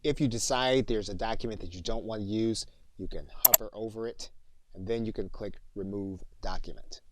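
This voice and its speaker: A man narrating a software tutorial as an instructor, methodical and step by step, recorded as a close-miked voice-over. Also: on the low side, normal speed.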